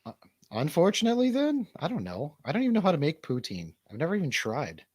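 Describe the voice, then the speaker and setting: A man's voice: on the high side, huge pitch swings, quick pace, darkish tone, breathy edge, no echo, studio quality. A man hosting a show in casual, bantering chat, rambling from one thought to the next. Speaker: radio show host and DJ.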